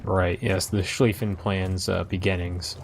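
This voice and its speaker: Low, creaky-voiced man hosting. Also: level tone, a little slow, noisy background. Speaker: host of a game let's play. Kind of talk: casual gameplay commentary.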